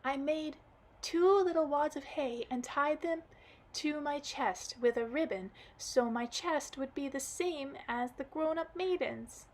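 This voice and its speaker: A heartbroken little girl from a storybook wails and sobs through her story in a high, bright voice. A woman performs her while reading aloud. The delivery swoops up dramatically with each new grievance. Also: measured pace, low-quality recording. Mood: telling. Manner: high, sobbing, wailing delivery.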